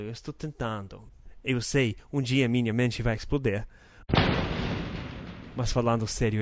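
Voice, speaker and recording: Young American host, native English, earnest and joking, dry booth-like recording. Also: male voice, medium pitch, no echo. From North America. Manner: friendly, earnest, joking, stumbles over Portuguese words. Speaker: podcast host. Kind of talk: casual solo podcast monologue.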